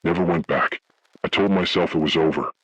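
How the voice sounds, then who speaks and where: A man voicing a haunted, grave survivor, narrating a scripted first-person horror story in slow, deliberate, ominous phrases with a gravelly, husky voice. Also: low-pitched, dry recording, low-quality recording. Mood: grave dread. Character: haunted man recounting childhood motel horror, grave, dread-filled.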